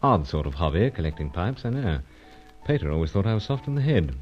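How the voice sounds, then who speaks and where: A man's voice: low voice, very animated, brisk. A man playing an unflappable secret agent in a spy audio drama, with clipped phrasing and breezy, pompous bravado. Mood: narrating, measured. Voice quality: clipped, drops words from sentences. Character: unflappable stiff-upper-lip British gentleman secret agent. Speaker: lead character and first-person narrator. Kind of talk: tongue-in-cheek spy adventure audio drama. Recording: dull lo-fi audio, faint music.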